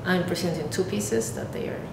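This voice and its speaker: A woman in her thirties from Peru with a Peruvian Spanish accent, an artist explaining her work haltingly, searching for words. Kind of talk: explaining own artwork to camera. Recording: echoey room, clear rumbling background noise.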